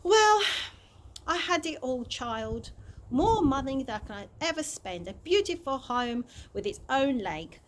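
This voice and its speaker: A posh old vagabond from a children's storybook, acted out by a woman. The voice is highish and swings widely, with a gentle, fond, wistful manner. Some background noise sits on the recording. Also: big pitch swings, a little slow. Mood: wistful, nostalgic. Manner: genteel, wistful, addresses the child fondly.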